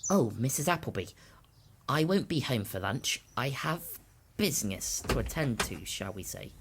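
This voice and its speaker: Audio-story clergyman, played by a woman: genial, discreet old priest, very deep, creaky, understated. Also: animated, normal speed, background noise. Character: genial, discreet elderly male village priest.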